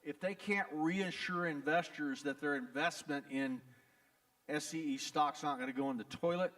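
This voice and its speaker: A man's voice: a little high, moderate pace, a bit bright. Folksy Texan man, voice from a distance. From Texas. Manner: folksy, blunt, joking, repeats "the bottom line is". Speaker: attorney. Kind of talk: town hall address to residents. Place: event venue in front of an audience.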